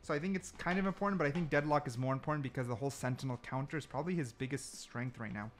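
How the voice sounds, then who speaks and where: A man's voice: mid-range voice, racing, a little hiss or hum. A man giving casual, slangy gameplay commentary, thinking aloud as he goes. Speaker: gaming content creator.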